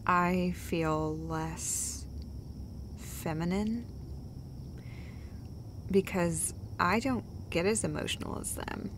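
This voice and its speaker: A woman's voice: on the low side, background noise. A woman playing a tender, foul-mouthed girlfriend who comforts her partner in an intimate, conversational roleplay, rambling and hesitating as she goes. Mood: soft, vulnerable. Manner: halting, hesitant, rambling, sweary. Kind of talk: intimate roleplay audio monologue, casual and conversational.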